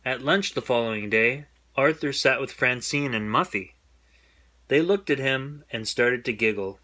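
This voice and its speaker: A man reading a children's bedtime story aloud in an expressive storytelling style. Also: medium pitch, unhurried.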